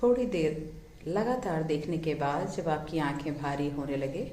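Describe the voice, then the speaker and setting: Slow-paced woman guiding a meditation. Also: sits low, warm tone, echoey room, slight background noise. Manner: measured, soothing, with drawn-out pauses between phrases. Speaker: meditation guide. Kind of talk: guided meditation, slow spoken instruction.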